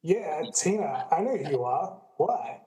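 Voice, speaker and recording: Man playing a mellow, skeptical buddy. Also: fairly high pitch, sing-song, a little slow, poor audio. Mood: casual. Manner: casual, skeptical. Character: skeptical, laid-back male friend, a reluctant stuntman. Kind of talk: amateur radio play acting.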